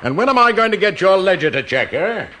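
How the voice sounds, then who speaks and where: An actor playing a pompous, vindictive older department head in a radio comedy drama, bossy and blustering in manner. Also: male voice, high voice, sing-song, fairly quick, sharp tone, a little hiss or hum, full character voice. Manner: bossy, blustering, self-important. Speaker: office department manager.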